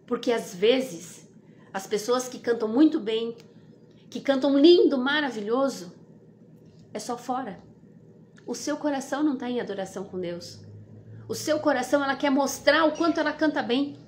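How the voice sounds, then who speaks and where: A woman's voice: high voice, animated, moderate pace, a little hiss or hum. A woman with a Brazilian Portuguese accent giving an informal devotional sermon, exhorting earnestly and repeating phrases for emphasis. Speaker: devotional host and preacher.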